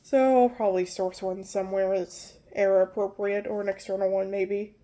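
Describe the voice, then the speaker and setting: A woman's voice: mid-range voice, fairly even intonation, normal speed, mellow. A young woman with a nasal voice from pollen allergies, casually walking through old computer hardware and often correcting herself as she rambles. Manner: rambling, self-correcting, apologetic. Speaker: tech video host.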